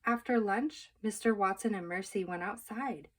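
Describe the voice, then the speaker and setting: A woman storyteller reading a children's book aloud in an expressive, measured, emphatic narrating style. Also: medium pitch.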